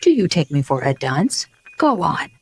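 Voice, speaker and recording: A woman voicing an irritable, threatening king in a storybook, sharp, impatient and commanding. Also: deep voice, big pitch swings, moderate pace, exaggerated voice. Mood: sharp, angry. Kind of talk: storybook character voice.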